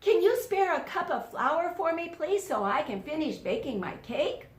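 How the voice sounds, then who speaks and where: Woman playing a high-voiced, wheedling storybook wolf. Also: very animated, normal speed, roomy sound. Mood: sweetly wheedling. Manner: polite, wheedling. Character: sly wolf feigning politeness to trick his prey.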